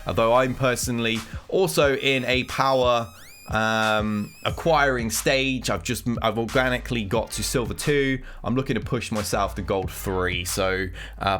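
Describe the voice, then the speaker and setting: A man's voice: lowish voice, a bit bright. A man with a cold and a nasal voice, giving casual commentary on game news as a gaming content creator. He rambles and thinks aloud as he reacts.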